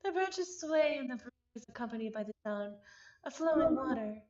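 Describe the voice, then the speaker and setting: A woman streamer reading a visual novel aloud, expressive and given to breaking off into exasperated, chatty commentary. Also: high-pitched, normal speed, highs cut off. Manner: expressive, breaks off narration to exclaim and repeat words in exasperation.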